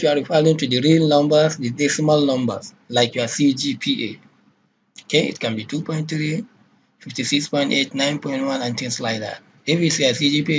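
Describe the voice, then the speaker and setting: A man's voice: mid-range voice, a little hiss or hum, low-quality recording. A man with a Nigerian accent teaching a programming class, asking questions and checking in with "okay?". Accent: Nigerian English. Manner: checks in with repeated "okay?", asks and answers questions. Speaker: lecturer. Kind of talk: classroom lecture.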